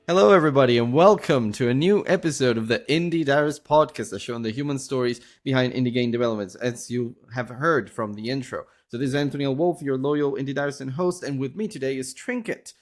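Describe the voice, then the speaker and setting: Male podcast host and interviewer, mid pitch, polite and conversational, slightly wordy delivery. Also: fast talker, hardly any pauses.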